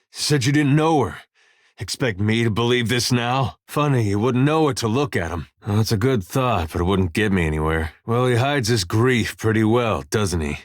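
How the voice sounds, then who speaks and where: An actor playing a laid-back, wry farmer and veteran soldier in a video game, with a folksy, understated drawl. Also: male voice, lowish voice, no echo, clean studio sound, lightly acted. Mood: stern, confronting. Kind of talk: video game character dialogue.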